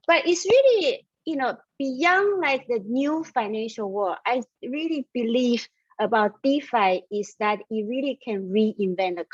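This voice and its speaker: A woman's voice: mid-range voice, no echo, lo-fi sound. A middle-aged woman, a startup founder from Taiwan raised in Austria, answering interview questions earnestly with plenty of fillers. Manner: earnest and enthusiastic, with frequent fillers and occasional laughs.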